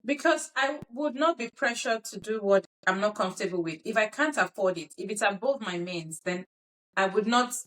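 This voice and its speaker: A woman's voice: mid-range voice, animated, normal speed, fairly bright tone, rough recording. A woman with a Nigerian accent, co-hosting a playful podcast debate and arguing the defense side with earnest, mock-formal pleading.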